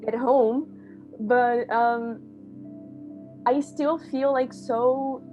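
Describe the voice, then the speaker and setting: A woman's voice: on the high side, lo-fi sound. A young woman from Brazil, an international student talking casually from her bedroom at home and filling her speech with "like". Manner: chatty, lots of "like", animated.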